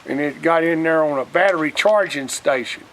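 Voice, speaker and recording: A man's voice: fairly high pitch, moderate pace, fairly bright tone. An older man with a Southern US accent casually reminiscing in a folksy, plainspoken way. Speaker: interviewee, retired coal miner. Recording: noisy outdoor recording with wind.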